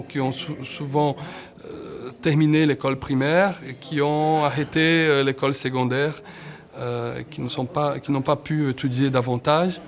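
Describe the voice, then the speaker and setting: A man speaks as an expert in an interview. He halts often and fills gaps with short sounds. His pitch is middling. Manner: hesitant, many fillers. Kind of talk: documentary interview.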